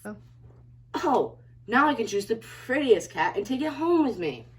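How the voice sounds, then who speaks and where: A woman's voice: fairly high pitch, very animated, a little slow. A woman playing a kindly, wondering very old man in a storybook reading. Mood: delighted. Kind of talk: reader's theater character acting. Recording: distant from the mic.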